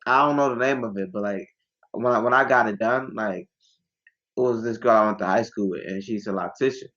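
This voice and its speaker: Young male guest from Ghana, relaxed and slangy, low voice, dull lo-fi sound. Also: a little fast. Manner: laid-back, slangy, rambling. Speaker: guest musician. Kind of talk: casual interview chat.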